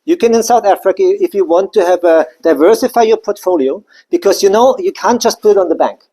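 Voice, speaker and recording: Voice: mid-range voice, brisk, warm tone. A speaker from Germany, giving a live business presentation in a loose, conversational way with many side remarks. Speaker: company presenter.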